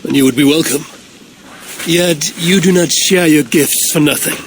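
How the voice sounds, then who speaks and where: An actor playing a shrewd Viking clan leader, dry and guarded. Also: male voice, on the high side, normal speed, slight background noise, lightly acted, rough recording. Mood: wry. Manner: dry, wary.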